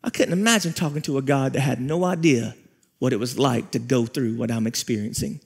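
A man in his forties preaching a sermon on a large church stage, with repeated phrases, pauses and rising emphasis. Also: medium pitch, vocal fry, breathy edge. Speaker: pastor.

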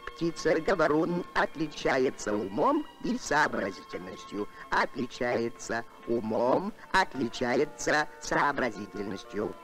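A man's voice: mid-range voice, big pitch swings, slow, few pauses, a bit bright, faint music behind. A man voicing a clever, self-praising talking bird in a cartoon, repetitive and declamatory. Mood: proud.

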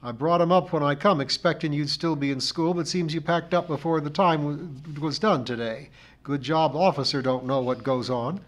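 A man's voice: a little high, moderate pace, voice pushed up, natural voice. A man voicing a belligerent, self-pitying village cleaning woman with a rural English accent, declaiming her grievances in a storybook reading. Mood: grudging, warning. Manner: declamatory, rhythmic, dropped g's, indignant.

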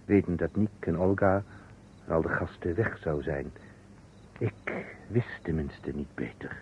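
An actor in an old Dutch radio play playing a weary surgeon, speaking in short, deliberate phrases. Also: male voice, low voice. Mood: grave, confessing. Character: weary male surgeon coolly confessing a false alibi. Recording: dull, noisy old radio recording.

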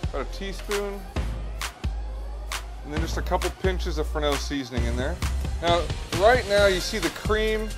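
A man's voice: high-pitched, moderate pace, pauses often. A man in his thirties, a chef, talking through a pasta dish step by step in a restaurant kitchen. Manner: relaxed, explains each step as he cooks. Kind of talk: cooking demonstration. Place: restaurant kitchen by a hot stove. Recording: music playing under the voice.

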